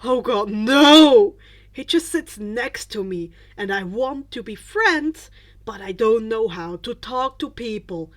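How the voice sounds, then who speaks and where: A grumpy, awkward teenage boy played by a woman reader speaks slowly in a dry, low-spirited way. It is a character voice from a fan fiction audiobook. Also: animated. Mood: awkward, worried.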